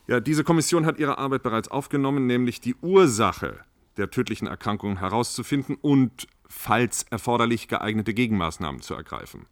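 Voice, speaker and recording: An actor playing a reassuring, bureaucratic health official in a radio play, speaking in a formal, deliberate way. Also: male voice, mid-range voice, animated, dry recording, lightly acted. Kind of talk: German radio play staged as a panel discussion.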